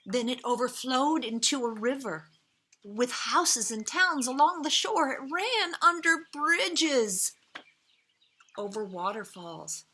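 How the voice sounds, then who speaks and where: A woman with a bright, fairly high voice reads a children's story outdoors as birds chirp. Her delivery is slow and expressive, with dramatic pauses and stressed words. Now and then she speaks gently and directly to her young listeners. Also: a little hiss or hum. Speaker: storyteller reading a picture book to children. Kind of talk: expressive children's read-aloud.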